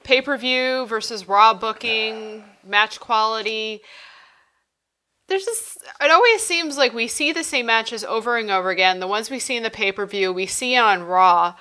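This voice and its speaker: A woman's voice: on the high side, moderate pace, bright, forceful tone. A woman hosting a wrestling podcast, moving the talk along and putting questions to her co-hosts. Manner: steering the talk, asks questions. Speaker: podcast host. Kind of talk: hosting casual wrestling chat.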